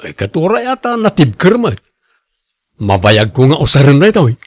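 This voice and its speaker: An actor with an Ilocano accent playing a boastful, defensive husband who loves showing off, in a radio drama. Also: male voice, fairly high pitch, big pitch swings, normal speed, no echo, clean studio sound. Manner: showy, bragging. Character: boastful, defensive husband and co-worker obsessed with costly branded clothes.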